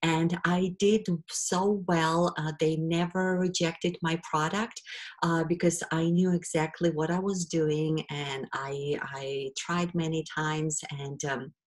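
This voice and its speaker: Slow, low-voiced woman with Ukrainian accent. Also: steady tone. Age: middle-aged. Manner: hesitant, many fillers. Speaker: webinar presenter. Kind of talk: presenting a webinar.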